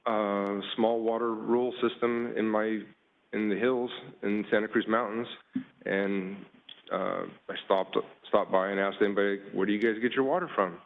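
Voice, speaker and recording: A man giving a panel presentation with slides, talking casually with many pauses and fillers. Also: fairly low pitch, steady tone. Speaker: panelist, water utility worker. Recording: tinny phone-like line.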